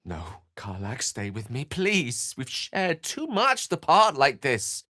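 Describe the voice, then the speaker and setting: An actor playing an eloquent, theatrical wizard in a fantasy video game, learned, courtly and wry, with articulate, bookish phrasing. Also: male voice, mid-range voice, wildly swooping pitch, slow, pushed and bright, dry recording, studio quality, heavily acted. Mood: pleading.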